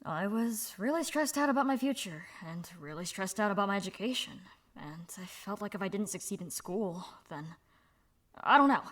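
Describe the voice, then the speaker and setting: A woman voicing a young woman speaking softly and haltingly about her past, in a fan audio drama. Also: mid-range voice, lively intonation. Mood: somber. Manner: soft, halting, confessional. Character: young woman, subdued, candid.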